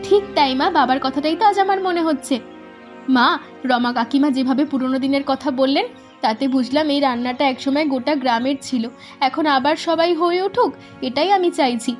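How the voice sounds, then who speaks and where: A woman playing a bright eager village girl in a cartoon, high voice, rapid and earnest, light music in the background. She sounds keen to share and persuade. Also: lightly acted. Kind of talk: animated cartoon dialogue.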